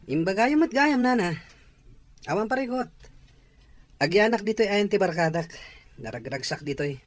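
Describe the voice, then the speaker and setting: Evasive, easygoing young son. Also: male voice, high voice, animated, normal speed. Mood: breezy, evasive. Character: evasive, easygoing young son who likes his friends. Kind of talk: radio drama acting.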